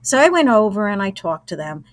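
An American woman in her sixties from Brooklyn reminisces in a casual interview. Her voice sits at an average pitch and she talks in an easygoing, anecdotal way. The sound is dry, with little room to it. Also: sing-song, brisk, dry recording. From Brooklyn, New York, USA. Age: 60s. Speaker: interview guest, former college radio engineer.

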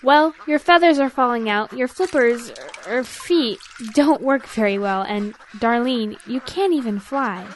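Woman as a high-voiced, kindly cartoon duck. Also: unhurried, hardly any pauses, lightly acted. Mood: gently sympathetic. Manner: gentle, patient, explanatory. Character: gentle, amused, kindly female duck. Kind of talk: children's audio drama acting.

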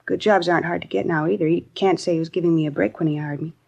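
Fast-talking, creaky-voiced put-upon secretary. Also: female voice, lowish voice, fairly even intonation, a little mellow, muffled recording. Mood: aggrieved. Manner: casual, complaining, clipped everyday phrasing. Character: disgruntled young secretary, talkative, put-upon, street-wise. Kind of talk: 1950s radio crime drama acting.

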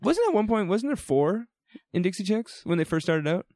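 A man's voice: sits high, big pitch swings, a little mellow, dry recording, clean studio sound. Jokey young man with a maritime lilt. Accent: New Brunswick. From New Brunswick, Canada. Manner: jokey, playful, quick asides. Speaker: podcast co-host. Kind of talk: casual chat.

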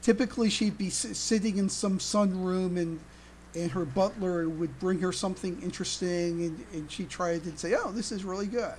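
A podcast host chatting casually in a halting, stammering way, often repeating words. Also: mid-range voice, darkish tone. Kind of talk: casual panel discussion. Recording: noticeable background noise.

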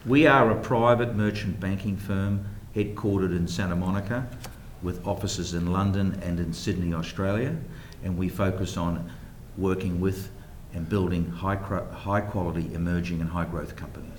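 Deep-voiced New man, echoey room. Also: fairly even intonation, normal speed, slight background noise. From New Zealand. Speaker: guest, private equity investor. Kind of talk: radio interview at a banquet. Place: banquet hall.

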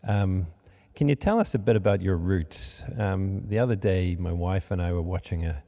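A man hosting a podcast interview, speaking haltingly with many fillers, recorded in a monastery room. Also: low-pitched, warm tone, in a large hall. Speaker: podcast host.

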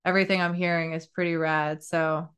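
A woman's voice: low-pitched, flat delivery, brisk, a bit bright, clear, resonant tone. A woman hosting a podcast interview, upbeat and effusive, laughing often as she chats. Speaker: podcast host. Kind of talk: casual interview chat.

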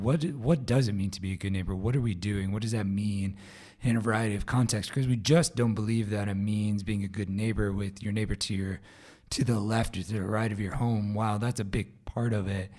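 A man with a low, raspy voice, hoarse from a vocal cord problem, hosts his podcast alone. He talks in a loose, casual way, full of fillers and restarts. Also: steady tone, brisk, a little mellow. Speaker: podcast host. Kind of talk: solo podcast update.

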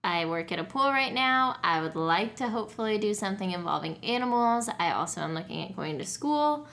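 A woman's voice: medium pitch, lively intonation, brisk, fairly bright tone. A woman in her twenties from Colorado, chatting casually and teasing her friends on a group podcast. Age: 20s. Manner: laughs easily, teasing. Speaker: podcast co-host. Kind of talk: casual chat among friends.

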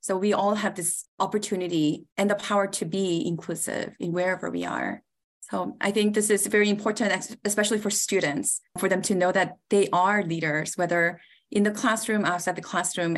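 A woman's voice: lowish voice, steady tone, moderate pace. A woman speaking as a podcast guest, giving reflective answers in an interview. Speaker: podcast guest, committee co-chair.

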